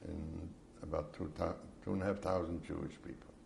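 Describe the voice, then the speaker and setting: A man in his seventies from Poland, giving slow, measured answers in an oral history interview. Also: sits low, fairly even intonation, vocal fry, a little mellow, slight background noise. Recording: echoey room.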